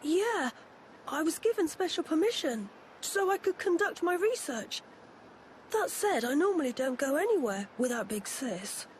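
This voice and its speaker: A woman's voice: high voice, sing-song, moderate pace, a little hiss or hum. A woman voicing an eager, bookish young boy in a video game, chirpy and informal. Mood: sheepish, explaining. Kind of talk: video game cutscene acting.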